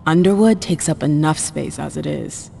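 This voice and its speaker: A woman's voice: sits low, normal speed, creaky, mellow, a little hiss or hum. A woman playing a cool, world-weary vampire club owner in a video game, sardonic and self-assured.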